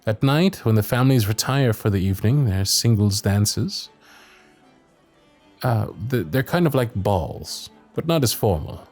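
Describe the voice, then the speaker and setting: A man playing a gentle, dutiful young knight of the royal guard in a romantic fantasy audio roleplay, with soft, breathy speech and formal, courtly, hesitant phrasing. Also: fairly low pitch, lively intonation, a little slow, soft background music. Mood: shy, explaining.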